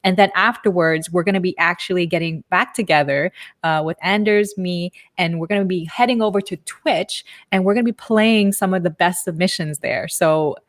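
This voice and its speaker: A young woman from Canada hosting a casual livestream from home over a headset, upbeat and chatty. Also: fairly low pitch. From Canada, Montreal. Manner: upbeat, chatty, quick bursts. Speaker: livestream host. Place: home room.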